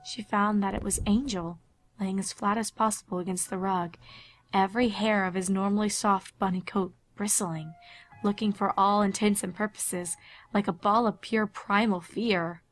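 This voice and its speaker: A woman reading scripted dramatic story narration in a measured, expressive way. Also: medium pitch, faint music behind.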